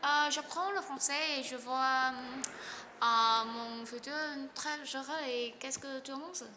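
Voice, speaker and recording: A woman's voice: high voice, a little slow, bright, forceful tone, a little hiss or hum. A woman from Vietnam, a summer school student, chatting hesitantly with many fillers. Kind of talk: casual paired chat. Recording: hall reverb, faint ambience.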